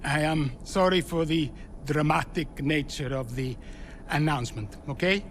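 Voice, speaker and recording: High-pitched, commanding male team owner. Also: unhurried, a little hiss or hum. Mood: assured. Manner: commanding, formal. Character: smooth, self-assured male racing team owner. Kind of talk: formal speech to staff.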